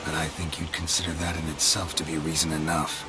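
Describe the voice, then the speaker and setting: An actor playing a gruff middle-aged male monster hunter, terse and dry in a video game. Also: level tone. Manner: terse, dry, low and unhurried. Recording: game audio with loud ambient noise.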